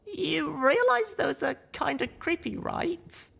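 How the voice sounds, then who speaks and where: A man voicing a young female unicorn pony heroine, with high squeaky excited yelps. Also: slow pace, creaky, full character voice.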